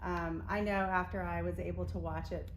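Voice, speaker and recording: A woman's voice: on the low side, fairly even intonation, fairly quick, a crowd in the background. A woman hosting a casual promotional interview, effusive and complimentary as she speaks. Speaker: interviewer and host for a community theater.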